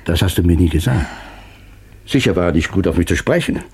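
A man's voice: deep voice, lively intonation, a little fast, a little mellow, husky, exaggerated voice. An actor playing an evasive, irritable businessman in a German radio play, brusque and defensive in manner. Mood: troubled, probing.

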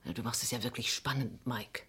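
An actress playing an inquisitive, mildly teasing wife in a crime radio play, speaking casual with a husky voice. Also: female voice, very deep voice, steady tone, brisk, fairly bright tone, full character voice. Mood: curious, teasing. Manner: questioning, casual. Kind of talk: 1970s German crime radio play acting.

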